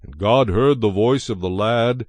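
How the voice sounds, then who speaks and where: A man giving a measured, solemn narration of scripture as the narrator of a dramatized production. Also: on the low side, no room sound. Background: soft music.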